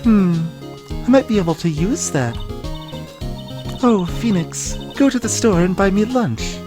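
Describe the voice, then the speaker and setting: A woman plays a cheeky teenage girl, an eager game sidekick. She is bubbly and emphatic, with a very lively pitch, and music plays clearly behind her. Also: a little slow, natural voice. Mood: playful.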